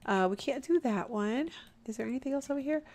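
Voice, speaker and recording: A woman's voice: high voice, animated, quick pace, a little mellow. A young woman, chatting casually over a video game and calling her viewers "y'all". She sounds nasal and stuffed up, and she laughs often. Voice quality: nasal from allergies. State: stuffy nose. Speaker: gameplay commentator. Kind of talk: casual let's play commentary.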